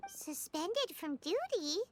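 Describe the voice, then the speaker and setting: A woman voicing a tiny, excitable female fairy companion in a video game, who chatters and calls herself by name. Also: very high-pitched, slow pace, soft background music, exaggerated voice. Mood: curious. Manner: chirpy, speaks of herself by name.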